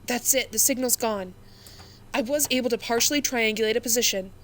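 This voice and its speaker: A woman playing a starship communications officer crisply reporting to superiors in a sci-fi audio drama. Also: a little high, fairly quick, a bit bright, a little hiss or hum, lightly acted. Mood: alert, urgent.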